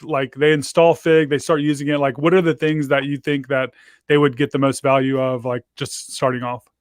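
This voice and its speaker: A man hosting a casual video call interview, talking in a relaxed, chatty way with lots of fillers. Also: a little high, dry recording, clean studio sound. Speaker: host. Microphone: video call microphone.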